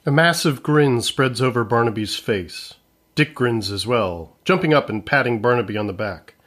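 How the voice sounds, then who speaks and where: A man's voice: mid-range voice, animated, moderate pace, fairly bright tone. A man reading scripted narration as the narrator of an audio comedy.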